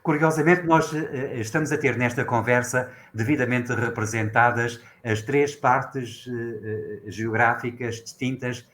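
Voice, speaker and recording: A man hosting a formal interview, introducing guests courteously and speaking in a measured way. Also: medium pitch, a bit bright. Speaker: host.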